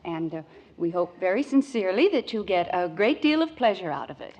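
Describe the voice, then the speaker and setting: An American actress playing a gentle, composed wife and mother in a 1950s radio comedy, politely hesitant and mildly chiding. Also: fairly low pitch, sing-song, brisk, slight background noise. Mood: hesitant, coaxing. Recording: muffled vintage radio recording.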